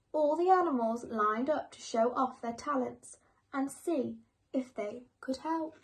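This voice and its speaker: A woman reading a children's bedtime story aloud in an expressive, measured storytelling manner. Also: high-pitched.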